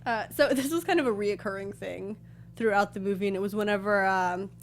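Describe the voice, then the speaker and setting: A woman's voice: medium pitch, brisk. An American woman co-hosting a review show in casual, conversational discussion. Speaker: co-host of a film review show. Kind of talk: casual panel chat and review.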